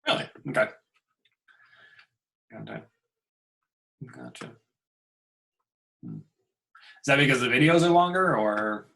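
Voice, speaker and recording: A man, an instructor, chatting casually with his students on a video call, relaxed and chuckling as he trails off. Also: mid-range voice, takes it slowly, gravelly, long pauses, low-quality recording. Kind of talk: casual class meeting chat. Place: home.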